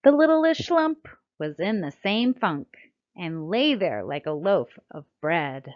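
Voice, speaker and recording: A woman's voice: on the low side, sing-song, takes it slowly. American woman reading aloud, slightly muffled recording. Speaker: children's book author reading her own book. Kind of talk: scripted read-aloud storytelling and narration. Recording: dull, lo-fi sound.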